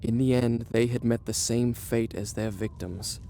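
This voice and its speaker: An actor playing a proud, headstrong young prince in a video game, speaking in a formal, literary and self-absorbed way. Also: male voice, mid-range voice, steady tone, measured pace, vocal fry, a little hiss or hum. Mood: somber, reflective. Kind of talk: dramatic video game acting, storybook-like narration. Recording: loud music behind the voice. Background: game soundtrack music.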